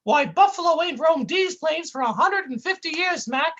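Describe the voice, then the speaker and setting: A dopey, clumsy, chubby cartoonish sidekick in a squeaky high voice. He whines and babbles folksy slang, and his tone jumps all over the place. The sound is bright. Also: animated, normal speed, dull audio. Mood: goofy, whiny. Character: dim clumsy chubby male comic sidekick.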